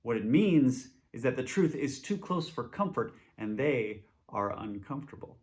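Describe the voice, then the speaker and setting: Guy reading scripture for church, kinda informal intro style, mid pitched voice. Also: big pitch swings, normal speed, a little mellow.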